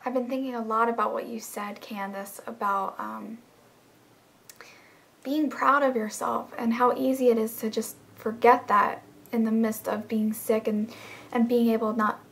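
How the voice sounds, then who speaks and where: A young woman who is losing her voice, talking earnestly and encouragingly to her friends in a casual video message, often slipping into a breathy, whispery delivery. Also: a little high, fairly even intonation, moderate pace. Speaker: vlogger in a group channel.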